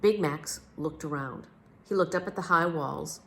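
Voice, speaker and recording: A woman reading a children's book aloud as a storyteller, with an expressive, theatrical delivery. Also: sits low, moderate pace.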